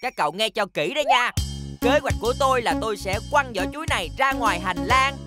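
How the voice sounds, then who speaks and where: Woman voicing a high-pitched, scheming schoolboy bully. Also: animated, fairly quick, close to an everyday voice. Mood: smug, plotting. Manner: boastful, taunting. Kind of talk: animated series dub voice acting. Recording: loud music behind.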